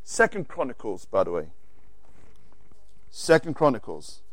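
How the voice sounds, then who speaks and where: A man's voice: medium pitch, measured pace, no echo. A man with an accent teaching a sermon to a congregation, reading scripture aloud and correcting himself as he goes. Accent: non-native English. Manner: animated, reads scripture aloud, self-correcting asides. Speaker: preacher.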